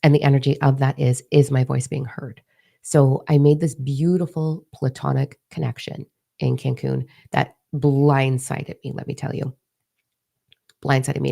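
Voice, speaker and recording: A young woman with a hoarse, gravelly voice, partly lost, speaking in a warm, dark tone. She talks as a spiritual teacher in a loose, confiding way, swearing freely and sharing openly. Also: a bass voice. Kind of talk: casual spiritual talk to followers.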